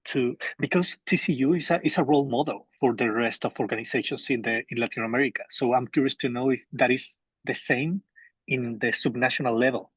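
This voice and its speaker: Husky-voiced man from Chile. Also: medium pitch, no echo, low-quality recording. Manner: polite, repetitive restarts. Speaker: audience member asking a question. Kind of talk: conference question.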